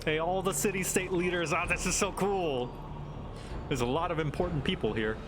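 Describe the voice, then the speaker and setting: A man's voice: sits high, moderate pace, background noise. A man with a general American accent who grew up in Thailand, chatting casually while streaming a game, rambling and laid-back with lots of "like". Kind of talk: casual gaming stream chat. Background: game music.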